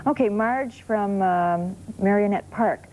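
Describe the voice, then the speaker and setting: A woman's voice: medium pitch, slow, darkish tone. A woman hosting an informal daytime television talk show in a studio. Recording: slightly noisy old TV tape.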